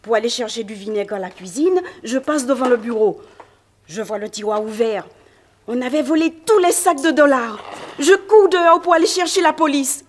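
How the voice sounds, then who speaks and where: A plain, fretful housemaid with a high voice, radio play. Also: female voice, lively intonation, normal speed. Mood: telling. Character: simple pious female housemaid, fretful. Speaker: servant witness. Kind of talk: 1950s radio drama acting.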